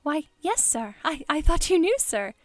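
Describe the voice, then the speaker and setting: An actress playing a deferential, fluttery household servant in a radio-style drama. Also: female voice, high voice, sing-song, normal speed, no echo, studio quality. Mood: uneasy.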